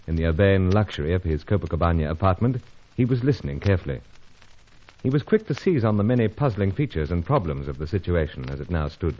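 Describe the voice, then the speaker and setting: Deep-voiced suave secret agent. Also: male voice. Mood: brisk narration. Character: suave British male secret agent, flirtatious and duty-minded. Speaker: hero and narrator. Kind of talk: old-time radio adventure serial acting. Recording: dull vintage radio recording.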